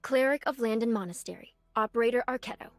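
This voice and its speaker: A woman voicing an earnest, dutiful young nun archer in a video game, speaking politely and formally in measured phrases. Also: a little high, no room sound, natural voice. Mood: calm, formal. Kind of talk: scripted game character voice lines. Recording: clean dry studio, music behind.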